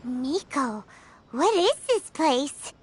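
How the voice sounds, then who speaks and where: A woman voicing a small, excitable and timid fairy-like girl companion in an anime-style video game, with a squeaky, childlike, exclamatory delivery. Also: very slow, slight background noise, exaggerated voice. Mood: scared. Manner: exclamatory, refers to self in third person. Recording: music behind the voice.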